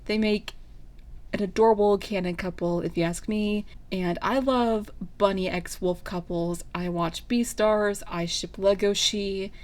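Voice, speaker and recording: A woman's voice: medium pitch, steady tone, measured pace, darkish tone. A woman hosting a fan fiction channel, reading out a story as its narrator and talking to listeners. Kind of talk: scripted story narration, casual sign-off.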